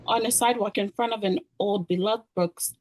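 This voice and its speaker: A woman's voice: mid-range voice, fairly even intonation, a little fast, a little mellow. Woman reading screenplay stage directions. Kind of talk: screenplay table read narration.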